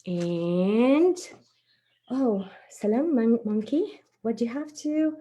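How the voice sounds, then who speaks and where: A woman's voice: fairly high pitch, lively intonation, slow, warm tone. A woman presenting a craft for young children, talking casually and encouragingly as she thinks aloud through each step. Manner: chatty, encouraging, thinking aloud while working with hands. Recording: faint background noise, small clicks.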